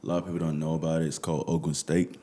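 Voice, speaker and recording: Deep-voiced Nigerian man, laid-back. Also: level tone, rapid-fire, mellow, slight background noise. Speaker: musician guest. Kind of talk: casual interview.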